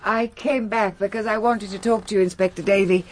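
An actress playing a bold, worldly upper-class English lady in a radio drama, husky-voiced, brisk and frank. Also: medium pitch, fairly even intonation, a little mellow, close to an everyday voice. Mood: guarded. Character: bold, reckless, worldly aristocratic English mother.

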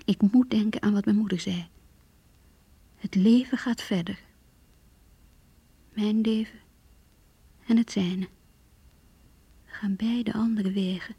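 Wounded mistress, extremely breathy near-whisper, soft mellow tone, intimate sorrowful radio-play diary, close and dry. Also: female voice, mid-range voice, fairly even intonation, slow, pauses often, no echo, full character voice. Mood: wistful, bitter, resigned. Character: heartbroken mistress, wounded, longing, quietly bitter. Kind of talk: radio drama inner monologue, diary reading.

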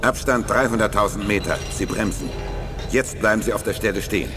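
A man's voice: fairly low pitch, a little fast, music in the background, lightly acted. An actor playing an alert male spaceship tracking officer, giving clipped reports in a 1980s sci-fi radio play. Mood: tense, businesslike.

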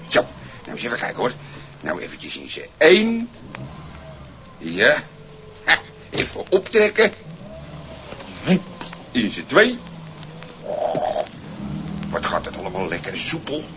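A scruffy, cheeky vagrant in a 1960s radio play. His bright, very animated voice mutters to itself, exclaims and trips over itself when flustered. The old record is muffled, with a little noise. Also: lowish voice, slow pace, pauses often, heavily acted. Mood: delighted wonder. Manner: stammering when flustered, exclaiming, talking to himself. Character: scruffy good-natured cheeky vagrant man, easily flustered and indignant. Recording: muffled, noisy 1960s vinyl single recording.

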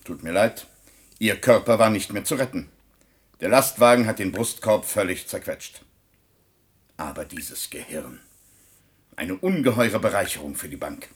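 An actor playing a callous, impatient scientist doctor in a science-fiction radio play, clinical and brusque in manner. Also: male voice, lowish voice, animated, unhurried, pauses often, husky. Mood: cool, dismissive. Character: callous, impatient male scientist doctor, coolly self-justifying. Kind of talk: German science-fiction radio drama acting.

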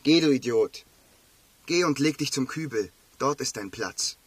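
An actor playing a bored, domineering criminal boss in a camp barrack, commanding and mocking, in a German radio drama. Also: male voice, medium pitch, expressive, measured pace, breathy edge, no echo. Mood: menacing. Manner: commanding, mocking, drawling at times.

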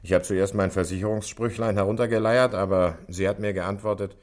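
A man's voice: fairly low pitch, steady tone, a little fast, close to an everyday voice. An actor in a 1960s German radio play, playing an earnest, impressionable junior police detective giving his report.

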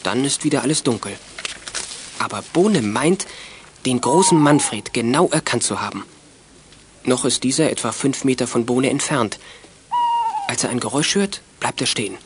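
A male narrator with a medium-pitched, very animated voice tells a children's adventure. His scripted delivery is dramatic and suspenseful, on a noisy old recording. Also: moderate pace, pauses often.

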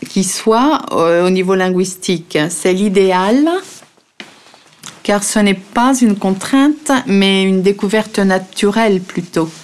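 A woman's voice: mid-range voice, darkish tone. A woman from the Paris region giving a composed, prepared account on the radio. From Paris region, France. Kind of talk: radio testimony.

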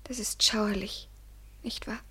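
An actress in a radio drama playing a proud, wounded young lover, fragile and bitter, intimate in delivery. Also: female voice, mid-range voice, steady tone, slow, in a whisper, heavily acted. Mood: bleak, murmuring. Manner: swings between breathy murmurs and sharp reproach.

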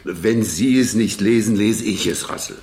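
Man as a gravelly, insistent official. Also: on the low side, normal speed, darkish tone, lightly acted. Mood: insistent, gruff. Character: insistent, gruff official questioning others about a case. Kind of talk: 1970s German radio crime drama acting.

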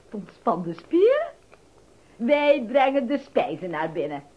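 A woman's voice: fairly high pitch, wildly swooping pitch, measured pace, slight background noise. An actress playing a scheming witch disguised as a seductive innkeeper's wife, theatrical and coaxing in a radio drama. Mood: sly, reassuring. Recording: muffled radio play recording with background voices.

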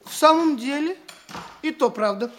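A vain, flighty young dandy, very animated. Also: male voice, extremely high pitch, normal speed, slight background noise. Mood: condescending. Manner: affected, pompous, self-important. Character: vain, flighty young gentleman braggart from the capital. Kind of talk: classic Russian stage comedy radio acting.

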